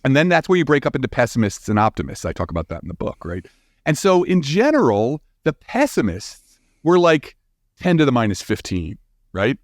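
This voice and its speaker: Guy expert on an interview, super animated up and down pitch, informal and emphatic, dry close sound. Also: a little fast, no echo. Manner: animated, informal, emphatic, often checking with "right?". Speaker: guest expert, astrophysicist and author. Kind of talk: casual explanatory interview talk.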